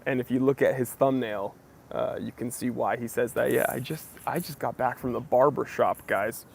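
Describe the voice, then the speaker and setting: A man's voice: mid-range voice, steady tone, normal speed, gravelly, a little hiss or hum. A young American man hosting a casual live Q&A stream, greeting viewers by name and joking around, a little wheezy from a recent cough. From United States. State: slightly wheezy, recovering from a cough. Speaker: livestream host. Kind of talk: casual live Q&A chat, reading comments.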